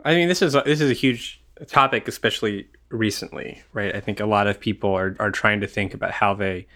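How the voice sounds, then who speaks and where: A man's voice: lowish voice, brisk, a bit bright. A man in his twenties, an orchestral horn player, answering interview questions in an earnest, easygoing way with light laughs and frequent "um"s. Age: 20s.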